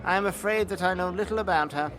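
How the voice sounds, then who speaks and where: A man's voice: high voice, fast, fairly bright tone, rough recording. A man playing a nervous, bookish records clerk in an audio drama, speaking formally and apologetically with a fussy stammer. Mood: scholarly. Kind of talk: acted audio drama dialogue. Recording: music under the voice.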